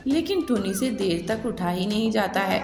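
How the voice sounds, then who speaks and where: A woman's voice: mid-range voice, animated, normal speed. A woman reading scripted narration for a cartoon story. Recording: echoey reverb with clear music behind.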